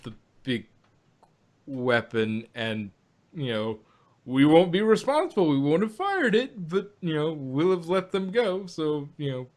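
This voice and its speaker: A man's voice: fairly high pitch, animated, measured pace. A man co-hosting a casual review podcast, rambling with plenty of fillers and wry asides. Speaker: podcast co-host.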